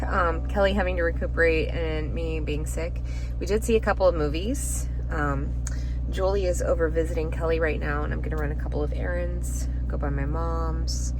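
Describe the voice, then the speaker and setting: Lowish-voiced woman rambling on in a casual vlog, noisy background with traffic. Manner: chatty, rambling day updates. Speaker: vlogger. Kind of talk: casual vlog talking to camera.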